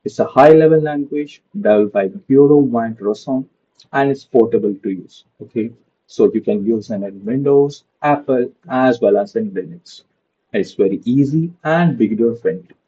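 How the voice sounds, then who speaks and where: A man's voice: medium pitch, normal speed, mellow, slightly rough, muffled recording. A young man giving a casual screen-share coding lesson, padding his talk with "okay" and "so". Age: young adult. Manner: loose, repeats "okay" and "so" a lot. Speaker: programming tutor.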